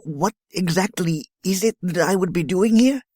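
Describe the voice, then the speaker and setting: A man playing a polite, hesitant scientist who speaks haltingly and deferentially in an amateur audio drama. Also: sits high, mellow, no room sound, studio quality. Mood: hesitant, puzzled. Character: hesitant, polite male scientist, software engineer.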